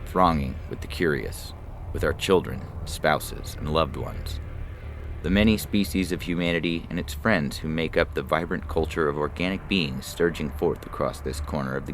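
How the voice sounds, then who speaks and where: A man's voice: extremely low pitch, normal speed, a bit bright, a little hiss or hum. A man reading a scripted first-person science fiction story as narrator. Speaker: story reader. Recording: music bed under the voice. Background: background music.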